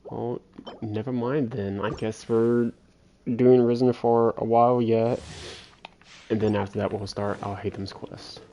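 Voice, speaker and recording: A man's voice: mid-range voice, flat delivery, a little slow, warm tone, slight background noise. A man casually chatting and muttering to himself as he livestreams a game. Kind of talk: casual gameplay chat.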